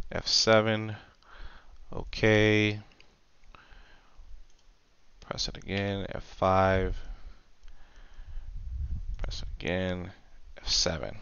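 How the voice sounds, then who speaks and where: A man presenting an unscripted software tutorial, explaining step by step in a halting way. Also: on the low side, smooth, no echo, lo-fi sound. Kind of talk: unscripted screen-recorded tutorial.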